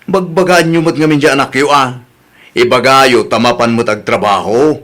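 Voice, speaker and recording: Male actor as meddling village husband, nagging and familiar, mid pitch, Ilocano accent, old-style radio serial. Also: normal speed, fairly bright tone, close to an everyday voice. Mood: reproachful. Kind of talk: Ilocano radio drama acting.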